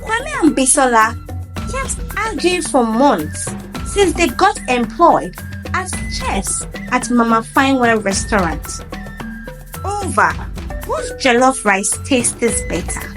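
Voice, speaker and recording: A woman storyteller narrating a scripted folktale with deliberate, dramatic phrasing. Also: high-pitched, very animated, over music.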